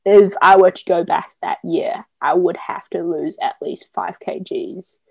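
A young woman, a guest telling stories to a friend in an animated, mock-dramatic way. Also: on the low side, measured pace, gravelly, slightly rough, no room sound, lo-fi sound. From Taiwan, raised in New Zealand from age seven. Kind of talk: casual chat with a friend.

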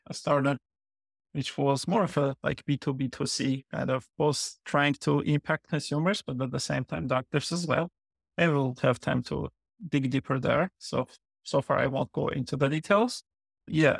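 A man, a podcast guest who answers in measured, short phrases. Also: fairly high pitch, vocal fry, no room sound. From Armenia. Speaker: guest, product lead. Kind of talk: podcast interview.

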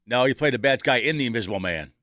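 A man's voice: medium pitch, lively intonation, racing, bright, forceful tone, no room sound, studio quality. A man hosting a casual panel talk show, chatting in a joking, rambling way.

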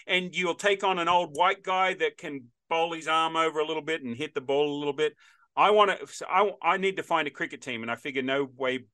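A man's voice: fairly high pitch, fairly quick, sharp tone, clear, resonant tone. Chatty rambling man in his fifties. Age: 50s. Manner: chatty, rambling storyteller with frequent restarts. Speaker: podcast host. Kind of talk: casual interview chat.

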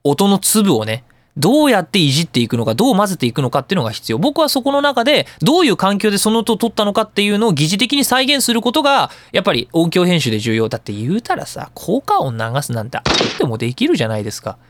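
Very animated, fast-talking man hosting radio. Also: sits high, no room sound. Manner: chatty, opinionated, colloquial explaining. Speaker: radio show host and audio engineer. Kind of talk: casual talk radio monologue explaining sound editing.

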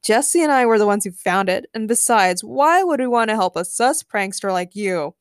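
A woman's voice: mid-range voice, lively intonation, normal speed, clear, resonant tone, no room sound, clean studio sound, close to an everyday voice. Woman as an exasperated, sceptical young woman. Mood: scornful. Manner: complaining, sarcastic.

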